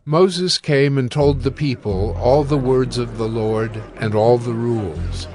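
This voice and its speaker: A man narrating scripture from a script in a measured, formal manner. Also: medium pitch. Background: faint background music.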